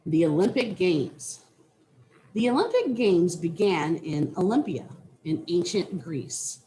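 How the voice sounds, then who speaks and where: A woman reading a children's nonfiction book aloud with deliberate, teacherly phrasing. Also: fairly low pitch, big pitch swings, dark tone, a little hiss or hum, low-quality recording. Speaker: reader.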